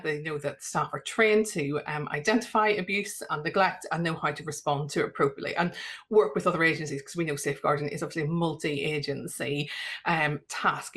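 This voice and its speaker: A woman with a Northern Irish accent, a GP giving a friendly webinar presentation over a video call, talking loosely with many fillers and restarts. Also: low-pitched. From Northern Ireland.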